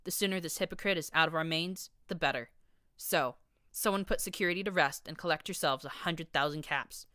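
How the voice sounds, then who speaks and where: A woman's voice: sits low, a little fast, no room sound, clean studio sound. Woman doing a harsh grating pony radio ranter. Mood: ranting, scornful. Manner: loud, scornful tirade. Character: harsh ranting male pony radio host.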